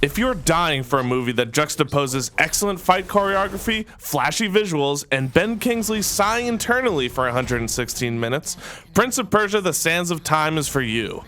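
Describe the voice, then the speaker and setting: Male entertainment narrator, scripted review read, pitched a little high, slight background noise. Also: lively intonation, moderate pace, bright, forceful tone. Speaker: video narrator, film list presenter.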